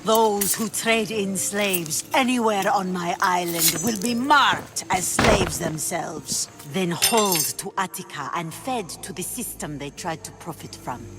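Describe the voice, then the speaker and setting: An actress playing a shrewd, ruthless pirate queen in a video game, speaking with cool, mocking menace over loud music. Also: fairly low pitch, a little slow, hardly any pauses, close to an everyday voice. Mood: scornful. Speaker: pirate leader. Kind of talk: video game cutscene acting. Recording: game audio with loud score behind.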